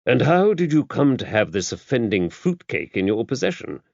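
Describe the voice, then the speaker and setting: A man voicing a calm, tactful investigator, asking measured, polite questions in an even manner. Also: mid-range voice, gravelly, dry recording, lo-fi sound, voice pushed up. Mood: politely probing. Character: calm, tactful male investigator, quietly probing and self-possessed.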